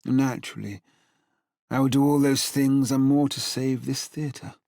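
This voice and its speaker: An actor playing a grandiloquent, paternal older policeman with flowery wording and dramatic pauses in an audiobook drama. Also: mid-range voice, fairly even intonation, vocal fry, dark tone, no echo, clean studio sound. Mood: grave, theatrical. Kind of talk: full-cast audiobook acting.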